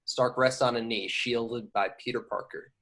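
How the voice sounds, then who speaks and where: A man's voice: mid-range voice, fairly even intonation, normal speed, sharp tone. Male narrator, middle register, reading screenplay action lines for an amateur group table read. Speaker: narrator reading stage directions.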